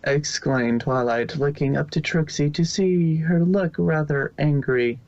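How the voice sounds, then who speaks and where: A man's voice: on the high side, unhurried, a little mellow, lo-fi sound. A man narrating a fan fiction story in an amateur reading.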